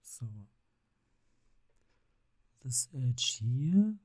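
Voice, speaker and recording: A man, narrating a screen-recorded software tutorial step by step, calmly describing each click. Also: mid-range voice, no room sound. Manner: unhurried, step-by-step narration of mouse actions. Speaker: CAD software instructor.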